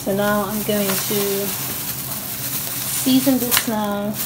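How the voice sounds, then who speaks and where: A woman's voice: mid-range voice, steady tone, takes it slowly, heavy background noise. A woman casually talking through a home cooking video in her kitchen, hesitant, with many ums and restarts. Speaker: cooking vlogger.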